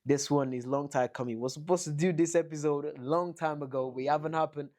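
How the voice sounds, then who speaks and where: A man's voice: fairly high pitch, steady tone, a little fast, a little mellow. A man hosting a casual interview with a mixed accent. He is chatty and leans heavily on "you know". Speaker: host.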